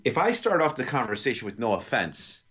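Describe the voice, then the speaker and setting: A man with a New York accent chatting casually as a podcast co-host, telling stories in a halting, rambling, jokey way. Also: mid-range voice, big pitch swings, muffled recording, background noise. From New York, United States.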